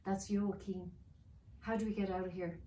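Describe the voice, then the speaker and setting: A woman's voice: mid-range voice, fairly even intonation, moderate pace, mellow, natural voice. Woman reading a brash, indignant boy. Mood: urgent. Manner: challenging, urgent. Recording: roomy, distant mic with faint noise.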